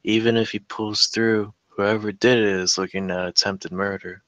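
Man reading as a weary mother, low-voiced. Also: level tone, moderate pace, fairly bright tone, dry recording, highs cut off, close to an everyday voice. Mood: stern. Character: weary working mother, concerned and principled. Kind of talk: table read of a TV drama script.

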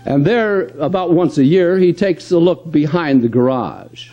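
A man's voice: a little high, big pitch swings, a little slow, dark tone, muffled recording, soft background music. An older man reading scripted announcements and sponsor copy in the style of an old-time radio announcer. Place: live stage in a convention room. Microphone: stand microphone on stage.

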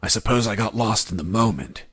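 An actor playing a cocky captured commando pilot in a video game, telling his story in a deadpan, wry way. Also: lowish voice, a little fast, slightly rough. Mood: deadpan, matter-of-fact. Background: background music.

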